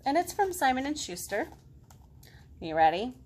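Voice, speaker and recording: A woman in her thirties talking to young children as a storytime librarian, expressive, with simple questions and pauses. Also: high-pitched. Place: home living room.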